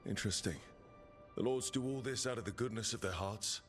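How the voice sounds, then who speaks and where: A man's voice: on the low side, a little fast, natural voice. A man playing an easygoing, inquisitive adventurer in a video game, conversational and dryly playful. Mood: curious. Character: easygoing, curious male adventurer from an assassin guild. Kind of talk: video game dialogue acting. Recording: music under the dialogue. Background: game music.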